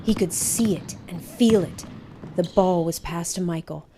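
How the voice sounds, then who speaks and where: A woman reading a children's picture book aloud as a storyteller, in an expressive, measured way. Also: fairly low pitch, noisy background.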